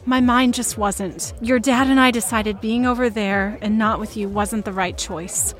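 A woman's voice: medium pitch, steady tone, unhurried, faint music behind, lightly acted. A middle-aged woman playing a loving, sincere mother in an audio drama, speaking tenderly. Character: loving, apologetic mother of a young musician.

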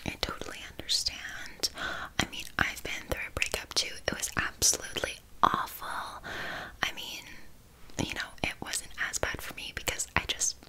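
A woman's voice: normal speed, sharp tone, low-quality recording. A woman voicing a blunt, vain, chatty town witch in a whispered ASMR roleplay, casually condescending. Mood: welcoming, chatty.

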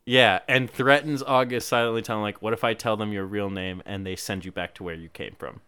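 A man hosting a podcast, recapping a movie plot with wry, deadpan jokes. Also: lowish voice, fairly quick, clear, resonant tone. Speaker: podcast host. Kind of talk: casual movie recap chat.

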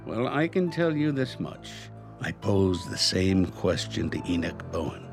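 Aging male lawyer, haughty, crafty, pompous delivery, very animated pitch, radio drama, soft music behind. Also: measured pace, creaky, full character voice. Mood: guarded, cryptic. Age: older. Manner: pompous, chuckling, theatrical phrasing.

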